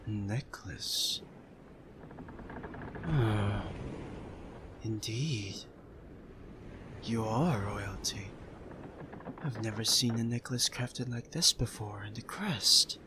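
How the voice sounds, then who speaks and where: A man's voice: on the low side, very slow, pauses often, noisy background. A man playing a suave, self-assured pirate captain in an ASMR roleplay, courteous and playfully smug. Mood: intrigued.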